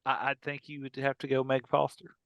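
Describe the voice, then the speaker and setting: Male podcast host, medium pitch, crisp tone, casual joking chat. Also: completely flat delivery, fairly quick, dry recording.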